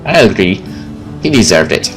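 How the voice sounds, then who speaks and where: A man with a very low, animated voice hosts a game playthrough. He reads the narration aloud and slips in playful remarks. Soft music runs underneath. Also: moderate pace, low-quality recording. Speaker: gaming video host. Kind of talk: reading game narration aloud with casual commentary. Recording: faint game music behind the voice.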